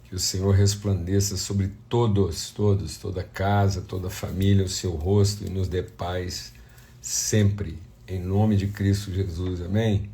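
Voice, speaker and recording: A Brazilian man, slightly hoarse and congested, speaking as a pastor in an informal, conversational devotional talk with prayer. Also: low-pitched, steady tone, a little slow, mellow. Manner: conversational, addressing viewers as family and brothers. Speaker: pastor hosting a devotional livestream.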